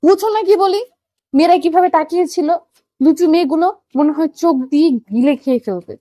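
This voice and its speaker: A high, close and dry female voice of an actress as a sassy young student wife in a romantic audio drama. She is possessive and quick to scold, with a sharp edge to her delivery. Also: no echo. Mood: jealous, sulky. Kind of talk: scripted audio story acting with first-person narration.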